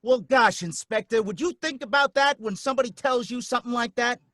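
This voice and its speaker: An actor playing a nervous, put-upon cab driver who stammers and pleads in folksy slang, in a live old-time radio drama. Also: male voice, very high-pitched, fairly quick, a bit bright, dry recording, studio quality.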